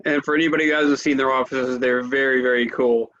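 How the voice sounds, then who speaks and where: A man's voice: mid-range voice, steady tone, fast talker, fairly bright tone, highs cut off. A man hosting a trade interview, introducing his guest and putting structured questions to him. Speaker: trade press interviewer and host.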